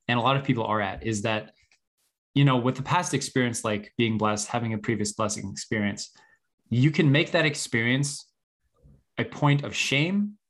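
A man's voice: mid-range voice, a bit bright. Man giving earnest, conversational advice. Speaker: host guiding a group discussion.

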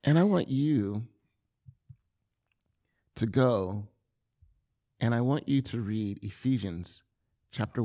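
A man giving an earnest, confessional sermon testimony, often halting and repeating words as he speaks. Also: on the low side, a little mellow.

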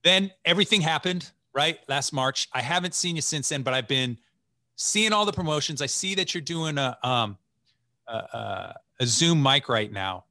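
Bright-voiced enthusiastic podcast host, forties. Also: male voice, a little high, normal speed, dry recording, studio quality. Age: 40s. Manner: chatty, enthusiastic interviewer. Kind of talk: casual interview chat. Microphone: video call.

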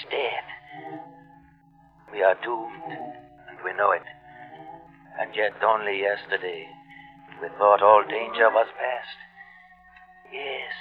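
Seeking a male actor for a doomed German submarine officer: medium pitch, solemn, deliberate, accented, vintage radio drama sound. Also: natural voice. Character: doomed German U-boat lieutenant telling his last story. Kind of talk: old-time radio drama acting. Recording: muffled vintage radio broadcast, music behind.

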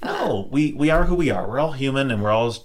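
Middle-aged male podcast host, mid-pitched, casual and thoughtful, stops and restarts his sentences. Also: animated, brisk. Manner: reflective, frequent restarts and "you know". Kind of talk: casual conversation.

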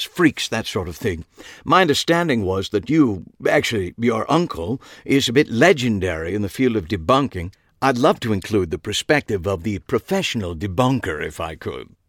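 Eccentric British newspaperman played by a male narrator, affected accent, theatrical, deliberate, lively pitch, dry close sound, audiobook character. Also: no room sound. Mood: eager, courtly. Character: eccentric tall British journalist, curious and faintly disdainful.

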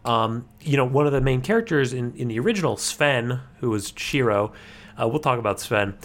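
A man's voice: medium pitch, fairly quick. A man co-hosting a podcast, explaining things casually with a wry, playful manner. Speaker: podcast co-host.

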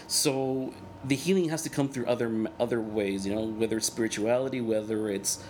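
Earnest, halting man in his thirties. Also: mid-range voice, a little mellow, a little hiss or hum. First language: Spanish. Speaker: guest, cartoonist and organizer. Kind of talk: candid personal interview.

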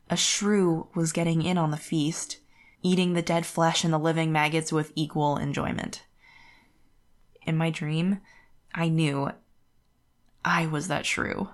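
A woman giving a dramatic storybook reading as a teenage girl haunted by a gruesome nightmare, grave and deliberate. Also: low-pitched. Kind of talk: dramatic reading of a horror passage.

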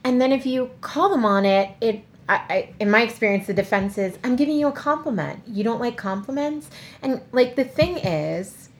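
A woman co-hosting a podcast in casual chat, animated, swearing and mocking people in quoted voices. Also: a little high, moderate pace. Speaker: podcast co-host.

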